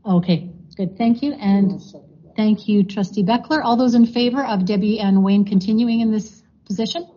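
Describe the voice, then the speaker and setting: Mellow husky woman chairing a meeting. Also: medium pitch, normal speed. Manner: procedural, many fillers and thank-yous. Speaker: board chair. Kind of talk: chairing a formal board meeting. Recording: dull lo-fi meeting recording.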